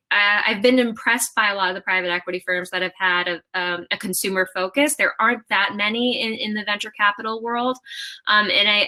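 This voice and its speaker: A woman in her thirties, founder of a company, answering interview questions in an animated way, with many fillers and restarts. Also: medium pitch. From half Japanese. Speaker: founder and CEO of a clothing brand.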